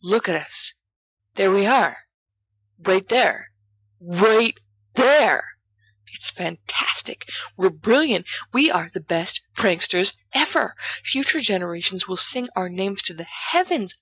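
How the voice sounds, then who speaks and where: A woman's voice: medium pitch, animated, slow, breathy edge, dry recording, full character voice, low-quality recording. Girl doing a hyped up teen wizard boy, breathless and full of himself, super dry close sound. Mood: awed, excited. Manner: hushed, breathless exclamations. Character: excited boastful teenage schoolboy wizard.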